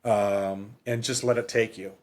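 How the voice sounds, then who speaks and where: A man's voice: on the low side, fairly even intonation, measured pace, darkish tone. A man co-hosting a podcast, talking casually and off the cuff, often repeating himself and rephrasing as he goes. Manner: rambling, repeats phrases, self-correcting. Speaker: podcast co-host. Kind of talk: casual unscripted book discussion.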